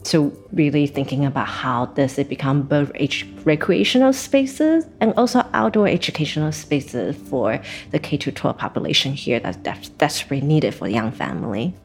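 A woman urban planner from Taiwan, speaking casually and thoughtfully in an interview, with some halting restarts. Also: deep voice, expressive, soft background music.

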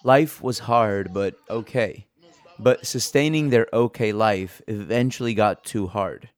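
A man's voice: fairly low pitch, unhurried. A man reporting and hosting in a scripted narration style. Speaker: podcast host and reporter. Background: music bed.

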